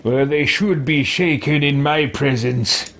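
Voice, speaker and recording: A man roleplaying an arrogant, abrasive nobleman adventurer in a fantasy game, speaking slowly and pompously. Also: a little high, a bit bright, slight background noise. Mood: haughty scorn. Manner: slow, pompous.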